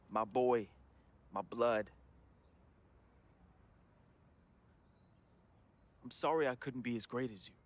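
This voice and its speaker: A male actor voices a troubled young American man in a game drama, speaking a farewell confession over faint music. His voice sits at a medium pitch, with slangy, heartfelt phrasing and grief running through it. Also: natural voice. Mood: regretful, apologetic. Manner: emotional, slangy, heartfelt urban American delivery.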